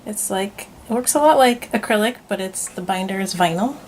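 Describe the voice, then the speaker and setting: A woman illustrator talking through a painting demonstration in her studio, thinking aloud and murmuring softly as she works. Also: medium pitch, animated, moderate pace, a little hiss or hum. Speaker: author and illustrator.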